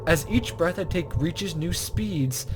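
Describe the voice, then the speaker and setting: A man's voice: on the high side, animated, slow, over music. A man doing let's play commentary, reading visual novel text aloud and stumbling over unfamiliar words. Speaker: gaming video host.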